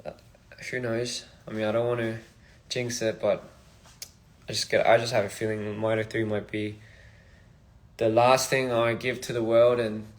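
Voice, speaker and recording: A young man from Australia, a musician chatting casually on a phone livestream in a halting, rambling, soft-spoken way. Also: fairly low pitch, level tone. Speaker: musician streaming live. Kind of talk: casual live-stream chat.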